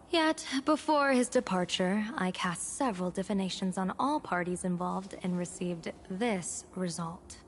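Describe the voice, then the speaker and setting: An actress playing an amused, enigmatic general and diviner in a video game, speaking in a composed, formal, theatrical way. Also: female voice, mid-range voice, a bit bright, slight background noise. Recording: game music behind the voice.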